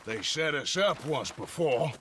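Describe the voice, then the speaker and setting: An actor playing a gruff, fed-up Western gunman with an American accent and a gravelly voice in a video game. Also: fairly high pitch, animated, a little slow, pushed and bright. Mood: angry. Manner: gruff, exasperated. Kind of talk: dramatic video game cutscene acting. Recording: clearly noisy background.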